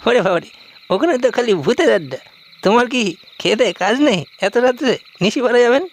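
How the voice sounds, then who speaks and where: A man's voice: very high voice, lively intonation, moderate pace, heavily acted. A man voicing a frightened rickshaw puller in a horror cartoon, panicky and exclaiming as he refuses. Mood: scared, refusing.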